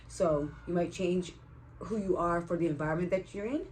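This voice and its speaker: A woman from Canada with a fairly low voice talks casually as a vlog co-reviewer. She wanders through her thoughts in a halting, playful way, with slight noise around her. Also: a little mellow, rough recording. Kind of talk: casual chatty movie review.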